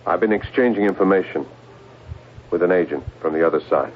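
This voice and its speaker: An actor playing a troubled, fearful man on a secret project in a 1970s radio drama, speaking haltingly. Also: deep voice, lightly acted. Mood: anxious, confessing. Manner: halting, hesitant, repeating words. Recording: tinny, noisy old radio broadcast.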